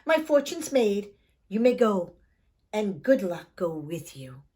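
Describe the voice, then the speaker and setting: A woman's voice: mid-range voice, big pitch swings, slow pace, a little mellow, breathy edge, exaggerated voice. A woman voicing a cocky, greedy young man in a children's storybook, bold and boastful in manner. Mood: pleased, smug.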